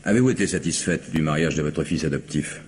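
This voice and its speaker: A man playing a probing, sceptical police commissioner with a deep, husky voice and measured manner in a radio mystery. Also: slight background noise. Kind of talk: radio mystery drama acting.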